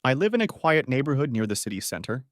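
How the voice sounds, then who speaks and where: A man teaching beginner English, reading short model sentences clearly and saying each one twice. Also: mid-range voice, very animated, no echo, studio quality. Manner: says each phrase twice, clearly and deliberately.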